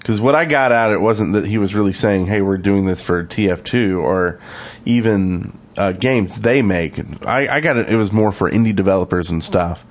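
A man's voice: fairly low pitch, moderate pace. A man co-hosting a gaming podcast in casual, conversational banter. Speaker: podcast co-host.

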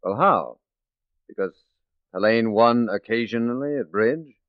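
An actor playing a shrewd, determined police detective in a 1940s radio drama, speaking in a measured, persuasive way. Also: male voice, medium pitch, no echo, lightly acted. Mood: grave, resolute. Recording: muffled 1940s radio recording.

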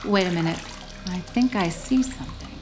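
A woman's voice: lowish voice, normal speed, natural voice. An actress playing a sinister, coaxing mother in an audio horror drama, with a composed manner. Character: sinister, coaxing mother calmly drowning her husband. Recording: music and bathwater behind.

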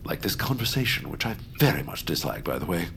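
Deep-voiced, gloomy, pompous baron. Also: male voice, very animated, quick pace, fairly bright tone, slight background noise, full character voice. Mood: dismissive. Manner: pompous, melodramatic, formal. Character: gloomy, lovesick, theatrical baron who scorns good times. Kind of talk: audio drama acting.

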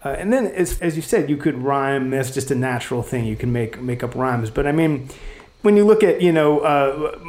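A man's voice: medium pitch, animated, normal speed, a little mellow. A man hosting an interview, asking long, thoughtful questions. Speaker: podcast interviewer, host.

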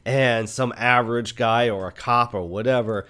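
A man's voice: mid-range voice, steady tone, normal speed, pushed and bright, clean tone. Casual man chatting about films. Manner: conversational, enthusiastic, punctuated with "right?" and "you know". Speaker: movie podcast host. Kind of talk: casual film review chat.

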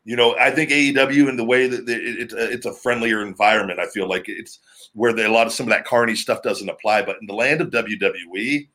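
A man with a deep, heavy, booming voice, hosting a podcast in a loose, rambling, talkative way. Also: fairly quick, pushed and bright. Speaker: podcast host, former pro wrestler. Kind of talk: casual podcast chat.

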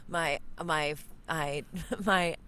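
A woman's voice: low voice, fairly even intonation, very slow, bright, forceful tone, slight background noise. A woman guest on a podcast, talking in a chatty, rambling way and laughing often, recorded at home with headphones on. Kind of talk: casual podcast chat. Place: home recording setup in a hallway space. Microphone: studio mic with headphones.

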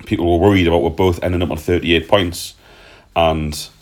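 Low-voiced man hosting. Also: fairly even intonation, a little fast. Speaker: podcast host. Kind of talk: casual football discussion.

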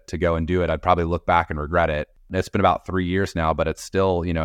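Very deep-voiced man, casual and reflective. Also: no room sound, clean studio sound. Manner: rambling, hedging, peppered with "you know". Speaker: interview guest, podcast industry executive. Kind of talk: casual, reflective interview talk.

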